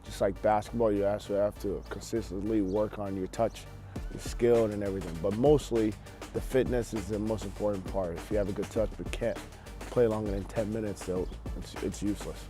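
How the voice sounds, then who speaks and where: A man's voice: lowish voice, warm tone. A man from Nigeria, a soccer player and coach, giving earnest, plain-spoken advice in interview sound bites.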